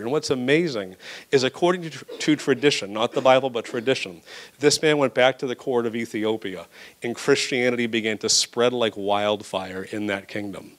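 A man preaching a church sermon, reading scripture aloud and then urging his congregation to serve others. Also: medium pitch. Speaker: pastor.

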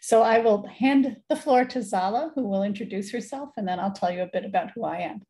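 A middle-aged woman introducing an online seminar, speaking in a measured, careful way over a video call. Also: mid-range voice, mellow. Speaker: seminar presenter. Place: indoor room, video call.

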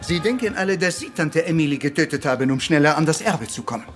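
An actor playing a proud, brooding middle-aged Belgian detective in a retro radio play, formal and courteous, with French phrases mixed in. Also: male voice, on the high side, animated, quick pace, soft background music, natural voice. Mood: firm.

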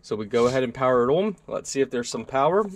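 Young adult man, mid-pitched, easygoing product-review delivery from a desk. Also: fast. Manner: relaxed, conversational walkthrough with fillers. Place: indoor desk workspace.

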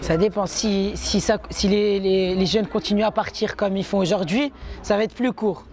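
A man's voice: sits high, fairly even intonation, moderate pace. A seventeen-year-old boy from Morocco, answering an interview earnestly and repeating words. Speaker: student. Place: courtyard at night. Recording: crowd chatter behind. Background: people chatting.

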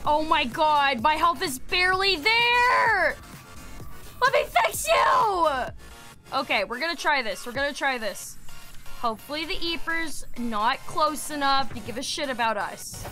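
A woman's voice: sits high, big pitch swings, unhurried. A young woman streaming a game, sick and losing her voice, chatting casually, swearing and shrieking when startled. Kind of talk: casual gameplay streaming chat. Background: faint game music.

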